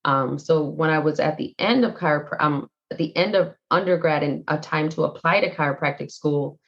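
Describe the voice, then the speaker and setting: A woman in her forties speaks with a fairly low voice, telling her life story as a podcast guest. She is animated and playful, often acting out what she once said. The sound is muffled and lo-fi. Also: moderate pace. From Jamaica, raised in Brooklyn, New York. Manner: animated, playful, acts out her past self in quotes. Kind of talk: casual interview storytelling.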